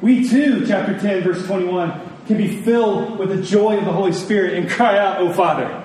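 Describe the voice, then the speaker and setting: A man with a fairly high voice delivers an earnest sermon, leaning on key phrases and pausing deliberately. He is recorded at a distance in a very reverberant room, with faint noise underneath. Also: a little mellow. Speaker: preacher. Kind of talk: sermon to a congregation.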